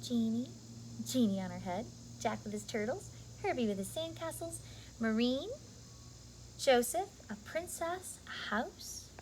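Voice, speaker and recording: A woman reading a picture book aloud to young children in an expressive, deliberate storytelling style, adding asides for her listeners. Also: fairly high pitch, a little hiss or hum, poor audio.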